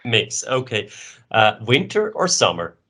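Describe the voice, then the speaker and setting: A man puts questions in a sports interview. His voice is bright and crisp-edged at a middle pitch, and his delivery is conversational. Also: big pitch swings, a little slow. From Switzerland.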